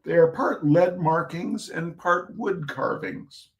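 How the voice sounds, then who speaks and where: A man's voice: a little high, slow, muffled recording. A man giving an informal reading of humorous essays, with a droll, chatty manner and asides to his listeners. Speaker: reader of short humorous pieces.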